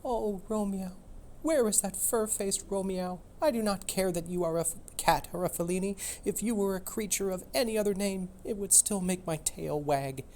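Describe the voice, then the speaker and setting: A man voicing a sweet, devoted young female dog in a soft, gushing way. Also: high-pitched, normal speed, a little hiss or hum, voice pushed up. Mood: dreamy.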